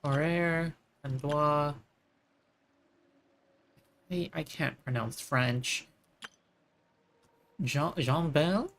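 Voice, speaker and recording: A woman's voice: deep voice, drawn-out words, pauses often. A woman live-streaming a game, giving casual commentary and musing aloud. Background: game music.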